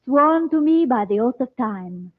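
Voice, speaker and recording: An actress playing a mysterious, solemn Egyptian woman with formal, measured speech in an old-time radio serial. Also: high voice, animated, dry recording. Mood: solemn, proud. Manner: formal, measured, stilted speech without contractions. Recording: tinny, band-limited old radio recording.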